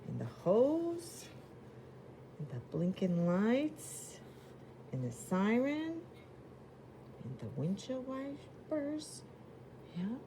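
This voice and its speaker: Woman storyteller for a children's picture book: unhurried, soft-toned delivery, expressive and simply phrased, faint noise behind. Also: fairly high pitch. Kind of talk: expressive read-aloud for young children.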